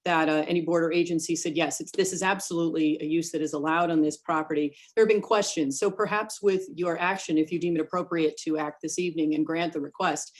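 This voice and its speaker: A woman attorney making a formal argument for her client at a remote hearing, measured and a little hesitant. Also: fairly low pitch. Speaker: attorney for the applicant.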